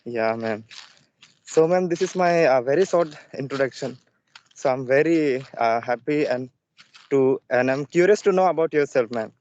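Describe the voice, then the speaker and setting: A man's voice: medium pitch, lively intonation, normal speed, darkish tone, dull audio. A young man from Jharkhand whose first language is Santhali, a student practicing English on a video call, speaking hesitantly with many fillers and a polite "ma'am". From Jharkhand, India. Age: young adult.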